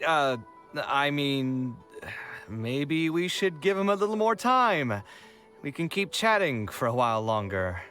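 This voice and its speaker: A man voicing an expressive, sardonic young architect in video game dialogue, with a theatrical, wry delivery. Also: a little high, slow, heavily acted. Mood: hesitant. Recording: game music behind the voice.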